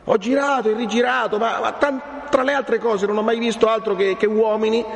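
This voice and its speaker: A man voicing a desperate, impulsive young peasant in a dramatised novel reading, agitated and halting, repeating his words. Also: high voice, fairly even intonation, creaky, voice pushed up. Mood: anxious, pleading. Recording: echoey room with long reverb.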